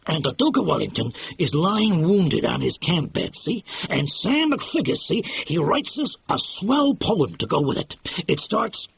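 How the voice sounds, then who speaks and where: A man's voice: fairly high pitch, big pitch swings, unhurried, vocal fry, breathy edge, full character voice, poor audio. A man reading an audiobook and voicing a brash, pushy middle-aged movie mogul who talks in slang. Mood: boastful, excited. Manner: slangy, pushy, exclamatory, keeps saying "see". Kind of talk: audiobook character voice.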